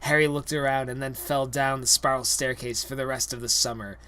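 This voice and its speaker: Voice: mid-range voice, fairly even intonation, fairly quick, pushed and bright, smooth, dry recording. A young adult reading a parody story aloud with exaggerated, comedic dramatic narration. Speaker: reader.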